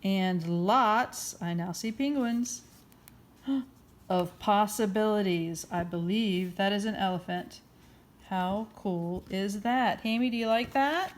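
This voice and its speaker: A woman's voice: mid-range voice, slow pace. A woman hosting a children's story time, reading aloud expressively and encouragingly, with questions for her listeners. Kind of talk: interactive picture-book read-aloud.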